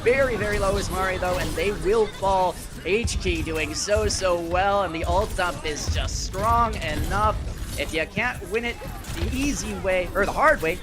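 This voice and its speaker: A man giving live play-by-play esports commentary in a conversational style with wry phrasing. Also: sits high. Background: music.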